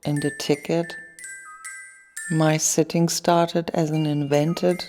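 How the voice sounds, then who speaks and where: A woman playing a pensive seated lady in a poetic radio play, speaking in a measured, deliberate way. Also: low voice, flat delivery, a little mellow. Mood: pensive, detached. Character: pensive sitting lady recalling her invented gesture. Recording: long reverberant hall, mallet music behind.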